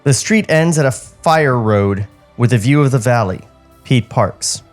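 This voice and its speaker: A man's voice: medium pitch, expressive, unhurried, soft background music. A man co-hosting a podcast, casually reading screenplay directions and chatting in a comedic table read.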